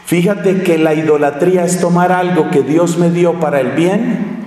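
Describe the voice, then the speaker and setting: A middle-aged man preaching a sermon at a lectern, slow and emphatic with long dramatic pauses. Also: a little high, warm tone. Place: large church hall at a lectern. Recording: echoey church hall, distant mic.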